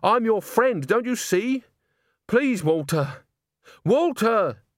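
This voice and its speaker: Guy doing a stubborn young woman in an audiobook, higher voice, earnest, pushy n pleading. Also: big pitch swings, moderate pace, no room sound, studio quality. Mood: frightened pleading.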